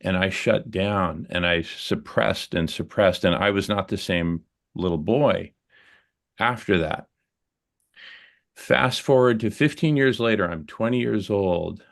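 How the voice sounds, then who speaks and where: A man sharing a personal story in a group conversation, earnest and reflective, halting with fillers and restarts. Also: low-pitched, creaky, fairly bright tone.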